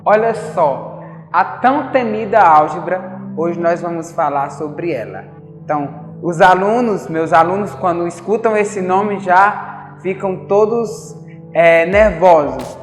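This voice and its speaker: A man's voice: sits high, slow. A man teaching a recorded math lesson, talking casually and putting direct questions to his students. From Brazil. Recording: echoey hall with faint music.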